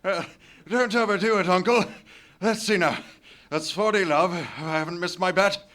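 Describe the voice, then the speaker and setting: A man's voice: high-pitched, normal speed, fairly bright tone. A man playing a cheerful young English nephew in a live radio play, with chipper, clipped phrasing. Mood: cheerful, sporting. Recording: live theatre stage, faint noise.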